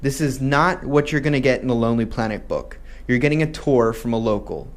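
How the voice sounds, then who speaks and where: A man who grew up in Malaysia hosts a promotional video, answering questions about a tour. He explains things in a friendly, steady way, sometimes restarting a phrase, in a voice of middling pitch. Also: brisk. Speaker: tour company host.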